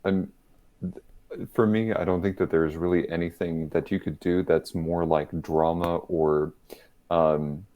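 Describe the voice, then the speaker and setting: A man's voice: low voice, fairly even intonation, a little slow, narrow, tinny audio. A man co-hosting an interview podcast, asking questions hesitantly with many ums as he searches for words. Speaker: podcast co-host.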